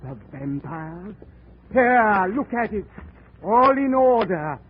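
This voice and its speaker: Haughty high-voiced radio villain. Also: male voice, very animated, slow, heavily acted. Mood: smug, indignant. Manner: formal, haughty, indignant. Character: smug, paranoid murder suspect, a widower, pleading when cornered. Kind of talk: 1940s radio drama acting. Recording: noisy, tinny 1940s radio recording.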